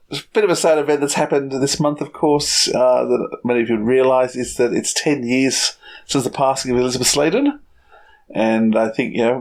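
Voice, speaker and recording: A middle-aged man co-hosting a podcast in casual, conversational talk. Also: mid-range voice. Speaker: podcast co-host.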